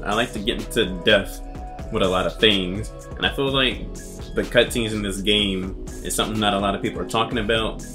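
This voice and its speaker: A man giving casual, analytical commentary as a video essayist. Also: fairly low pitch. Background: music.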